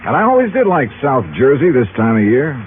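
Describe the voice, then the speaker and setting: An actor playing a wry, teasing American insurance investigator in a 1950s radio detective drama. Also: male voice, medium pitch, big pitch swings, brisk, heavy background noise, lightly acted. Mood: relaxed narrating. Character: wry, breezy male insurance investigator narrating his case. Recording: tinny 1950s radio recording with music.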